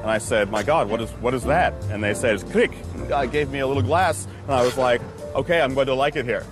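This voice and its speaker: American man, brewmaster, relaxed chatty talk, faint music underneath, outdoors in the city. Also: medium pitch, fast. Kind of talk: casual outdoor conversation.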